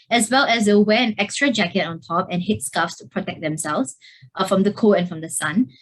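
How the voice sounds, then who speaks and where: Young woman presenting hesitantly. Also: mid-range voice. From Singapore. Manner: hesitant, many fillers like "uh" and "like". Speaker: student presenter. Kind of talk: presentation over slides. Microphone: video call.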